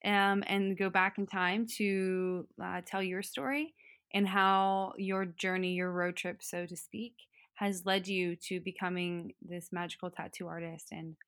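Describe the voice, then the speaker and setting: A woman's voice: lowish voice, fairly even intonation, measured pace, fairly bright tone, dry recording, studio quality. A woman hosting an interview podcast, asking long, rambling questions filled with "um". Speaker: podcast host.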